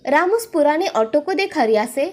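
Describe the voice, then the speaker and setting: A woman's voice: sits high, animated, normal speed. A woman reading a scripted children's story as its storyteller.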